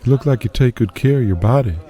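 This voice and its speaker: A man acting as a flirty, muscle-bound wrestling star in an audio drama. His voice is very dark and soft-edged at a medium pitch, with faint music behind it. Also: fast talker, close to an everyday voice.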